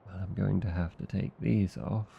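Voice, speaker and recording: A man with an Australian accent playing a gentle, reassuring vampire husband in an intimate ASMR roleplay, tender and soothing. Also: fairly even intonation, moderate pace, highs cut off, slight background noise. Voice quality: low, dark, gravelly. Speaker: voice actor.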